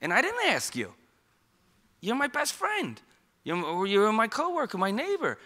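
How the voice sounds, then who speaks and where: Middle-aged New York man, very bright and somewhat high voice, chatty and emphatic speaker. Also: wildly swooping pitch, measured pace. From Queens, New York. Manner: conversational and emphatic, with frequent rhetorical questions. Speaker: speaker at a suicide prevention talk. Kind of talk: informal public talk with slides.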